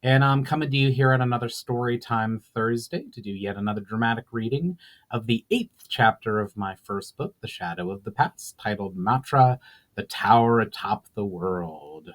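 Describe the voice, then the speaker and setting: A man giving a dramatic reading of his own written story, measured in delivery, with occasional stumbles and self-corrections. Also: on the low side, rough recording. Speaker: author reading own book aloud. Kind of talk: dramatic audiobook-style narration.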